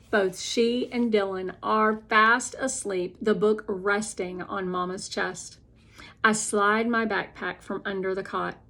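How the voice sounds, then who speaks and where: A woman narrating a children's novel as an expressive, deliberate read-aloud. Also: medium pitch.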